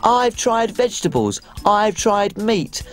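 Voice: medium pitch, very animated, slow pace, soft background music, pitched below the performer's own voice. A performer putting on a fretful castle cook. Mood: fretting. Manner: rhyming, worried.

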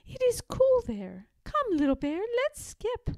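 A woman voicing a kindly, playful old female grandmother bear in a gentle, encouraging storybook manner. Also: very high voice, wildly swooping pitch, unhurried, no echo, voice pushed up, heavily acted. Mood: kindly, cheerful.

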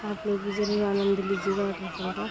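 A woman's voice: medium pitch, completely flat delivery, fairly quick, a loud crowd. A woman in her thirties from the Kumaon hills, speaking Hindi with a Kumaoni accent, chatting to viewers on a phone camera held at arm's length outdoors. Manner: chatty, addresses viewers directly. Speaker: vlogger. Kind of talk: casual travel vlog narration. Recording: loud outdoor noise.

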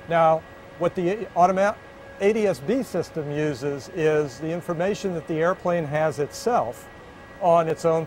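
Higher-pitched older American man explaining. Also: a little hiss or hum, low-quality recording. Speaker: aviation official and pilot. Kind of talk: explanatory talk to camera. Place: inside an aircraft cabin. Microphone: lapel.

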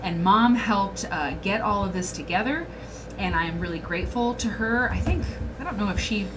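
A woman's voice: mid-range voice, normal speed, noisy background. A woman hosting a casual livestream chat from a small room, reading viewer comments aloud and talking to them directly. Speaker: host.

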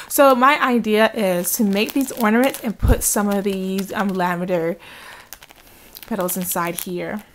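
A woman's voice: mid-range voice, a little hiss or hum. A woman chatting informally and enthusiastically as she shows things to the camera in a casual home craft vlog. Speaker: craft vlogger. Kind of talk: casual haul show-and-tell. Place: indoors at home. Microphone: handheld camera, speaker behind it.

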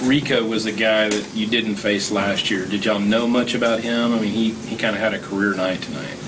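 A man's voice: on the low side, fairly even intonation, a little fast. A man, a reporter, asking a coach casual postgame questions. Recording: distant, very noisy room.

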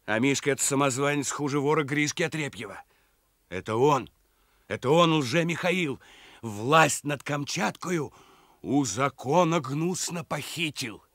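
An actor playing a brazen, sly jailed crook, insolent and mock-courteous, in a radio play. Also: male voice, a little high, expressive, measured pace, a bit bright, dry recording, clean studio sound. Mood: mock apologetic. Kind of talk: Soviet radio play acting.

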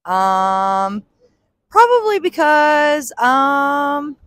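A woman's voice: high-pitched, animated, drawn-out words, fairly bright tone, dry recording. A woman in her thirties answering street interview questions tersely outdoors, often just saying yep. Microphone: handheld mic held by interviewer.

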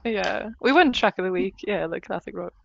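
A woman band member as an interview guest, giggly and quick to laugh. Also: mid-range voice, lively intonation, creaky. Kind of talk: casual interview chat. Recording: dull dry lo-fi audio.

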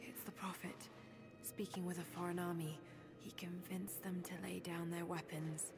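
An actress as a young woman archaeologist in a cinematic video game. She is earnest and determined, speaking lowish and hushed as if to herself over game music. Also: flat delivery, moderate pace, natural voice. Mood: hushed musing.

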